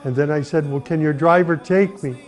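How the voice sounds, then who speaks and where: A middle-aged man from the United States, earnestly telling his own life story at an unhurried pace, with pauses and restarts. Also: a little high, steady tone. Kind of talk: personal testimony, reflective storytelling. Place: Saudi Arabia. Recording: soft music behind the voice.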